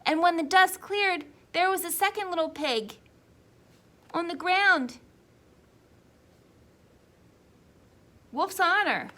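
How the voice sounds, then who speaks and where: A woman voicing an aggrieved male storybook wolf who pleads his innocence with dramatic, drawn-out emphasis. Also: very high voice, sharp tone, voice pushed up, heavily acted. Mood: dramatic, insistent.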